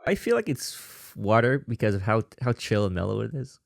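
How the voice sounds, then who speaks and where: Man from vietnam, podcast host, lowish voice, dry sound, careful hedging talk. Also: sing-song, brisk, gravelly, no room sound. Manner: careful and hedging, with restarts and qualifiers. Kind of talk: casual conversational interview.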